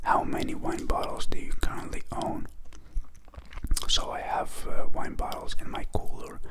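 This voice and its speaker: Whispering man, ASMR. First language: Greek. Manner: soft unhurried whisper with many fillers. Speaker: ASMR channel host.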